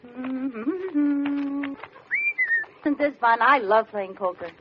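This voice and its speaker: An actress playing a cheerful, naive, literal-minded wife in a vintage radio sitcom. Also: female voice, high-pitched, extremely slow. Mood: bright, naive. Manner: guileless, literal-minded, chirpy. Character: cheerful, naive wife eager to be one of the fellas. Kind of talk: 1940s-50s radio sitcom acting. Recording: dull, noisy old radio recording with audience.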